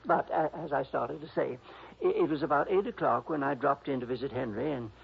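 An actor playing a sweet, dreamy, eccentric older composer, rambling and hesitant in a radio drama. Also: male voice, mid-range voice, fairly quick, creaky, slight background noise. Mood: worried, hesitant. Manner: rambling, fluttery, hesitant, chuckling. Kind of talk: 1960s radio drama acting. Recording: dull, lo-fi old radio recording.

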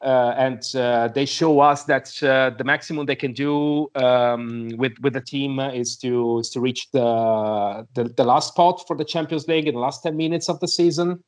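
A man's voice: mid-range voice, steady tone, moderate pace, hardly any pauses. A man giving his opinion on a podcast, speaking hesitantly in non-native English and searching for words. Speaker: podcast panelist.

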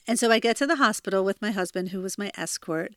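A woman's voice: medium pitch, fast talker, dry recording, clean studio sound. An American woman in her forties from New Jersey, hosting a self-help podcast in an earnest, confiding, chatty way from a bedroom. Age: 40s. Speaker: podcast host. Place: childhood bedroom.

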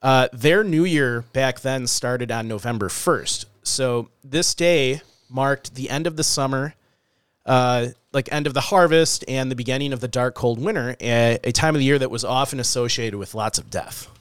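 Man, podcast co-host, a bit stuffy-nosed, smooth clear voice, dry close sound, loose and chatty. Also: medium pitch, normal speed, dry recording. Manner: relaxed, chatty, frequent "uh" and "um". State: slightly nasal from allergies. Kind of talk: casual podcast chat.

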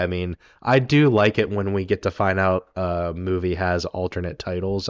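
A man co-hosting a casual film talk, his voice raspy from being sick, rambling with many ums and restarts. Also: low-pitched, dry recording, lo-fi sound. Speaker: podcast co-host.

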